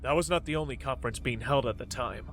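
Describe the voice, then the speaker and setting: Mid-pitched former aide recounting events played by a man. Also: quick pace, lightly acted. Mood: reflective. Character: reminiscing former military aide, male narrator. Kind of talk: comic dub narration. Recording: faint music under the voice.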